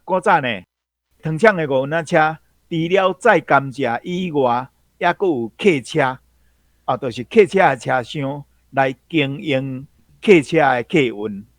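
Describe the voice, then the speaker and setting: A man's voice: a little high, unhurried, dry recording. A man with a Taiwanese accent explaining local history as a guest commentator, phrase by phrase.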